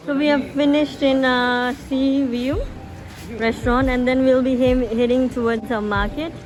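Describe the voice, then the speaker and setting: A woman's voice: high voice, steady tone, moderate pace. A young woman from Sikkim, a native Nepali speaker, chatting casually as she narrates a travel vlog outdoors and in a restaurant. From Sikkim, India. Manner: chatty and easygoing, with many fillers. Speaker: vlogger. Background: background music.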